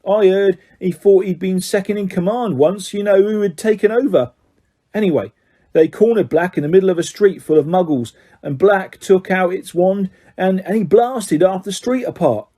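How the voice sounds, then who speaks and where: A man's voice: sits high, moderate pace, warm tone, pitched above the performer's own voice. A man voicing an eager, gossipy young male wizard bus conductor in an audiobook. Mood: excited gossip. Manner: eager, gossipy, fond of tag questions. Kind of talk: audiobook character voice.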